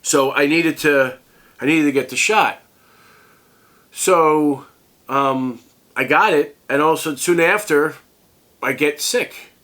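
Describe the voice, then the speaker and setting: A sick, congested man rambling through a casual vlog as a tech review host, halting and restarting often. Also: on the high side, sharp tone.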